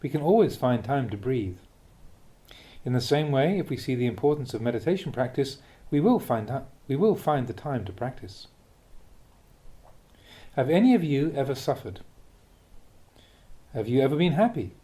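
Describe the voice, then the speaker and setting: A man reading a Buddhist Dhamma talk aloud as a monk, in a measured, expressive way with emphatic stresses. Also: medium pitch.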